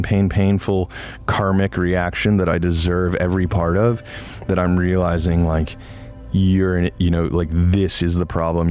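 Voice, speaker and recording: A man in his late twenties giving a casual, confessional monologue, rambling and often halting and interrupting himself. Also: low voice, darkish tone. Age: late 20s.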